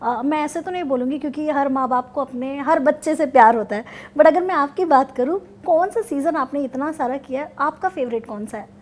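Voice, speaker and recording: A woman's voice: high-pitched, a little fast, hardly any pauses, a little mellow. A woman interviewer asking questions in a conversational style.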